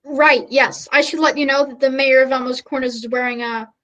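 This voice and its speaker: A woman playing an earnest, melodramatic, easily flustered radio news reporter in a comic radio play, halting and polite. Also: high-pitched, fairly even intonation, fairly bright tone, low-quality recording.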